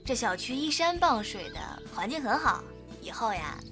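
A woman acting a sweet, homely young girlfriend, coy and gently scolding, in a Chinese audio drama. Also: a little high, big pitch swings, moderate pace, music in the background.

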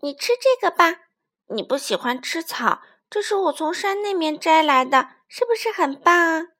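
Woman voicing a high-pitched innocent baby dinosaur boy. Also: animated, moderate pace, sharp tone, heavily acted. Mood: excited, proud. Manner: childlike, exclaiming, pleading. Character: innocent, adoring baby ankylosaurus boy, clingy and cheerful.

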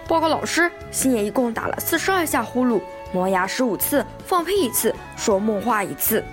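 A woman's voice: on the high side, very animated, brisk, faint music behind, pitched above the performer's own voice. A woman voicing an eager tattletale schoolchild, reciting dutifully in an audio drama. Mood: eager, smug. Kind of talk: Mandarin audio drama acting.

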